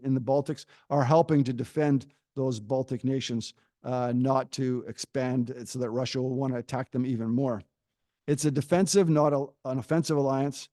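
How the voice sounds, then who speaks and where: A middle-aged man, a professor, giving a prepared argument at a panel in a measured way, pausing often with fillers. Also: medium pitch, dark tone, no echo, studio quality. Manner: measured, pauses with frequent fillers.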